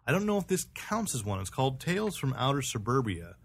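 American man in halting, casual podcast chat. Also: mid-range voice, sing-song, gravelly, breathy edge. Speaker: podcast host. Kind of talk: casual comics review chat.

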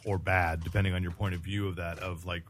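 An American man hosting a casual podcast chat, speaking in a halting, hedging way. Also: sits low, flat delivery, slight background noise. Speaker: podcast host. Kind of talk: casual chat about comics and pop culture.

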